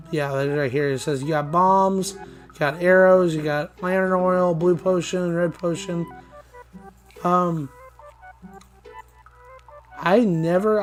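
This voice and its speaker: A man with a slightly hoarse, groggy voice giving loose, rambling let's play commentary. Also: high voice. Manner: rambling, loose, with many fillers and restarts. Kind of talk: casual gameplay commentary.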